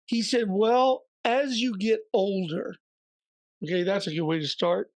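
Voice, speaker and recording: A man co-hosting a podcast, slightly hoarse and raspy, telling a story in a chatty way with joking asides. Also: high-pitched, expressive, a little slow, dry recording. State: a little hoarse. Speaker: podcast co-host. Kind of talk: casual podcast chat.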